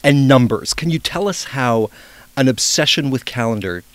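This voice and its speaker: A man hosting a book interview, asking prepared questions in a measured way. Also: mid-range voice, animated, fairly bright tone, no echo. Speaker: interviewer host.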